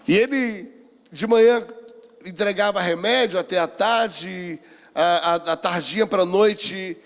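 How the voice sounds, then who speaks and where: A Brazilian man in his sixties speaks as a pastor sharing personal testimony. His voice is quite high for a man, with a deliberate delivery that repeats phrases for weight. The sound carries the long reverb of a large hall. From Rio de Janeiro, Brazil. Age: 60s. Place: church hall, speaking to a seminar.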